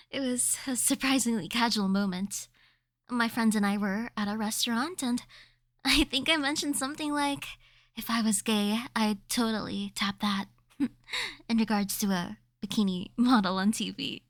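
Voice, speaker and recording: Woman playing an easygoing young woman, slightly high. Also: no room sound, clean studio sound, natural voice. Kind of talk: ASMR roleplay.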